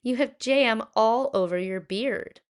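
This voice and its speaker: A woman's voice: on the high side, slow pace, pitched above the performer's own voice. A woman voicing a cheerful, fussing ninety-seven-year-old grandmother in a storybook read-aloud. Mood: chatty, fussing. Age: older.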